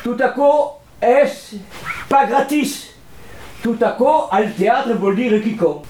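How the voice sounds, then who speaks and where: Animated Southern French man lecturing on theatre. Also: slow, echoey room, slight background noise. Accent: Southern French, Montpellier. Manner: animated, theatrical, swings into booming bursts. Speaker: theatre teacher. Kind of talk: lively talk on theatre to a group.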